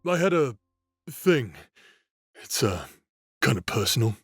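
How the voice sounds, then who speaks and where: A man's voice: mid-range voice, sing-song, measured pace. A man playing a flippant, casually sadistic demon bounty hunter in an anime-style audio roleplay, lazily drawling his lines. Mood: nonchalant.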